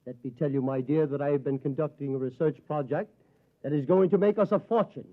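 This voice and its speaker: Casting: male actor for a pompous, wounded-dignity husband, highish voice, muffled vintage radio play. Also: quick pace, close to an everyday voice. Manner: florid, mock-dignified. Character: pompous, suddenly sober, oily henpecked husband. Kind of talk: old-time radio acting. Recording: dull vintage radio drama recording.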